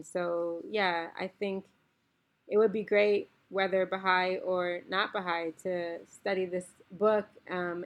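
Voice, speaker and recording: A woman from the Bahamas hosting her own podcast from home, talking in an earnest, reflective, conversational way. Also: lowish voice. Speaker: podcast host. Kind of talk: casual solo podcast talk with a read-aloud quote. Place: home, recorded around napping children.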